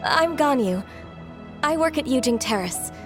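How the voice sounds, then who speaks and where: A woman voicing a shy, gentle young female secretary in a game, soft-spoken and a little hesitant. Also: high voice, expressive, unhurried. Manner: soft-spoken, stammers when nervous. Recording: game music behind.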